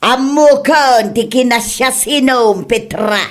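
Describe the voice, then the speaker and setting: Woman playing a slow, high-voiced melodramatic old mother-in-law. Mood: demanding, scolding. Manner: melodramatic, whining, groaning. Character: scheming, complaining elderly mother-in-law, melodramatically feigning dizziness. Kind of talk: comedy radio drama acting.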